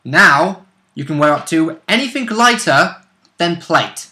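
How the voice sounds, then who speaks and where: A man's voice: a little high, animated, unhurried, bright, forceful tone. A man co-hosting a gaming vidcast, chatting casually and analytically about game rules.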